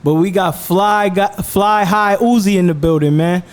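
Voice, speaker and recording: A man's voice: high voice, moderate pace. A man hosting a casual interview, upbeat and full of affirmations as he hypes his guest. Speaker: podcast host.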